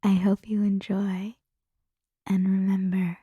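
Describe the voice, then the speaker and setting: A woman hosting an ASMR channel, addressing listeners in a breathy whisper. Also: mid-range voice, monotone, takes it slowly, no echo, dull audio. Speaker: ASMR storyteller, channel host.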